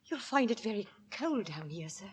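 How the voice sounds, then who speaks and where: A woman's voice: medium pitch, very animated, moderate pace, close to an everyday voice. An actress playing a deferential, anxious landlady, servile and eager to please, in 1940s radio style. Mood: solicitous. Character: Missus Bunting, deferential, anxious, married landlady. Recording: vintage 1940s radio drama.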